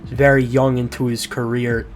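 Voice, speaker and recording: A man's voice: medium pitch, little pitch movement, moderate pace, soft background music. A man with a bad cold, sounding congested, casually narrating a boxing fight breakdown as host of his channel, with slangy asides and loose restarts. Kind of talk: voice-over fight breakdown commentary.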